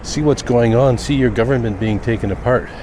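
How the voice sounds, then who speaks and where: A man talking off the cuff in a personal vlog, reflective and rambling with thoughtful pauses. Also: mid-range voice. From Canada. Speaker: daily vlogger. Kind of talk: unscripted personal vlog monologue. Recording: noisy outdoor recording with vehicle sounds.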